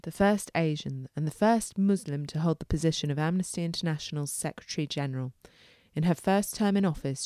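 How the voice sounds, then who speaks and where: A woman's voice: low voice, a little mellow, no room sound, studio quality. A woman hosting a podcast, reading scripted links and introductions. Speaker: podcast host.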